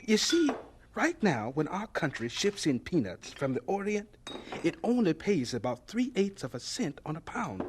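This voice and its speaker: An actor playing a spirited, stubborn elderly scientist with a thin, husky, halting voice in a radio drama. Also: male voice, fairly high pitch, slight background noise. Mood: earnest, excited. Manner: animated, halting, teacherly. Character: spirited, stubborn elderly male scientist, cheerfully absent-minded.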